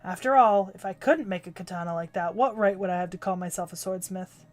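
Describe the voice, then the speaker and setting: A woman voicing a gruff, proud older male swordsmith with a growling voice and curt, commanding delivery, reading a game's story aloud on stream. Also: fairly low pitch, fairly quick, natural voice. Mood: stern.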